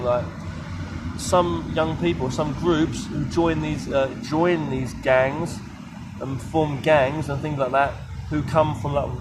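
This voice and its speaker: Rambling man with outdoor traffic noise. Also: mid-range voice. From London, England. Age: 30s. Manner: halting, lots of fillers and restarts. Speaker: vlogger. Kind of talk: rambling monologue vlog.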